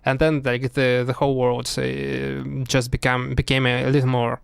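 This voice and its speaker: A man from Russia, co-hosting a casual podcast chat and pausing often on fillers and restarts. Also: medium pitch, completely flat delivery, creaky, clean tone, no room sound, studio quality. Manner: halting, many fillers and restarts. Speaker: podcast co-host.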